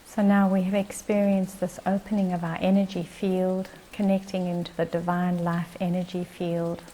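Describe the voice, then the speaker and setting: A woman leading a guided meditation as its guide, speaking in gentle, short phrases with long pauses. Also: on the low side, flat delivery, slight background noise, low-quality recording.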